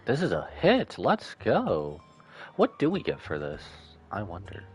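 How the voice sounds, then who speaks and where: Low voiced guy streaming a game, very lively intonation, casual commentary with music behind. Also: unhurried, slightly rough. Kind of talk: casual live commentary and reading along.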